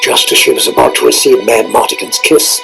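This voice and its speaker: A man's voice: mid-range voice, big pitch swings, a little fast, creaky. A man reading a scripted, dramatic storybook narration on a read-along cassette. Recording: cassette narration over loud music. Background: loud orchestral music and sound effects.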